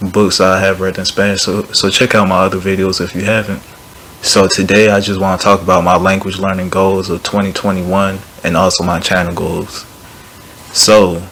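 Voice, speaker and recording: A man's voice: sits low, a little hiss or hum. A young man, a university student, talking casually to his viewers about his language learning goals, loose and rambling with restarts. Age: young adult. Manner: relaxed, rambling, with fillers and restarts. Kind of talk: casual vlog talk to camera.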